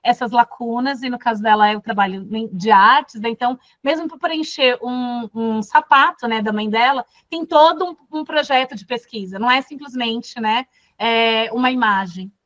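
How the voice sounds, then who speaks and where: A woman from Brazil leads a class discussion, pausing often with fillers as she works through her points. Her voice sits a little high. The sound is dry and dull, like a lo-fi video call. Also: a bit bright, dry recording. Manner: thoughtful, many fillers and restarts. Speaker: course facilitator. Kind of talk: casual classroom talk.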